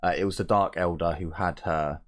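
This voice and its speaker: A man's voice: low voice, steady tone, brisk, fairly bright tone. A man running a tabletop roleplaying game as game master, explaining the scene while searching for words.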